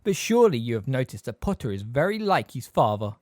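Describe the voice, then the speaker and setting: A narrator voicing a middle-aged male teacher in a storybook, smooth, sarcastic and waspish, with delicate stress on words. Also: mid-range voice, very animated, a little fast, a little mellow, no echo, clean studio sound, natural voice. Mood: silky, sneering.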